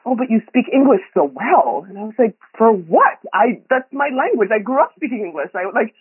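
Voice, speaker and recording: A woman's voice: on the high side, big pitch swings, fairly quick, breathy edge, dry recording. A woman from Kenya, a podcast guest, talking reflectively with many ums and frequent laughs. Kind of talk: conversational interview. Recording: tinny phone line, dry.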